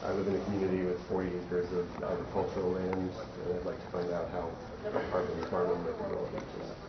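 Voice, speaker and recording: Deep, even-voiced man, distant and echoey. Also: fast talker. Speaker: workshop participant. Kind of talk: informal self-introduction. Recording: distant, echoey, muffled room recording with noise.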